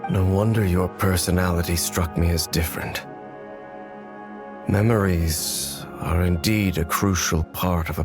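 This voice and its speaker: A low, cold voice of a centuries-old knight in a dramatic video game. He is formal and measured, stern, guarded and bitterly accusing. Faint music sits underneath. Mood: guarded, dismissive.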